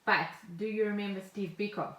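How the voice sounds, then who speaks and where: A woman's voice: medium pitch, a little fast, a bit bright. A middle-aged South African woman co-hosting a podcast, explaining things in casual chat and sometimes reading from notes. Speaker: podcast co-host. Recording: roomy home podcast echo.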